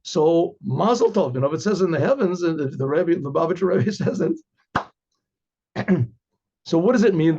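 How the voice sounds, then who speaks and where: Male storyteller, rabbi type: fairly high-pitched, husky, rambling and conversational, often clearing his throat; dull lo-fi sound. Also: a little mellow. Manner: rambling, conversational, frequent hesitations and repetitions. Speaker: rabbi telling religious stories. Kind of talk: informal oral storytelling with religious commentary. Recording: dull, lo-fi recording.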